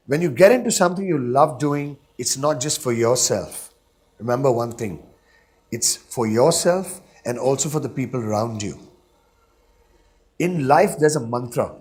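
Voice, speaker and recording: Emphatic Indian English man speaking on stage. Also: mid-range voice. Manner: emphatic, repeats phrases in rhythmic lists. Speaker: radio DJ giving a talk. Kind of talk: motivational stage talk. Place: stage in a hall. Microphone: stage microphone.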